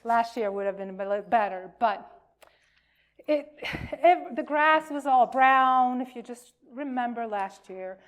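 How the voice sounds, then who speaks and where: A woman reading a children's story aloud to a congregation, telling it expressively with emphasis and deliberate pauses. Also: fairly high pitch.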